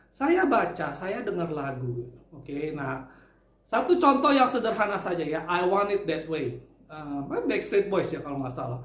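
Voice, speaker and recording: A man from Indonesia casually giving tips as the channel host, animated and chatty, dropping English phrases into his talk. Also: high voice. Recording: roomy echo.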